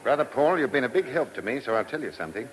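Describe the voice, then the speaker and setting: A man acts a police chief inspector in an old radio drama. He presses his questions and commands with authority in a lively, mid-pitched voice, with faint noise on the recording. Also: rapid-fire, close to an everyday voice. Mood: confiding. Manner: insistent, probing questioning, commanding. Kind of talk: vintage British radio play acting.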